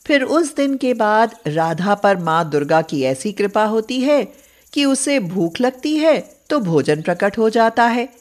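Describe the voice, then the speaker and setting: A woman narrating a scripted moral story for children as the storyteller. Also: mid-range voice.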